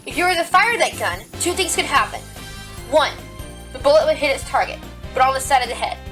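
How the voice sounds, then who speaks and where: Rabbit cop-turned-lawyer, female performer: high voice, earnest, stressed, audio drama, roomy distant sound. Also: lively intonation, brisk, fairly bright tone. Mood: assertive, arguing. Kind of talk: fan audio drama acting. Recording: echoey, off mic, music underneath.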